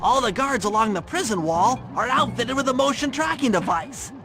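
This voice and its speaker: An actor playing a nerdy, careful young turtle gadget genius in a video game, nasal and precise. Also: male voice, very high-pitched, lively intonation, moderate pace. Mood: intent. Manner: precise, earnest, bookish briefing. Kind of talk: animated video game cutscene acting. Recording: loud music under the voice. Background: game music.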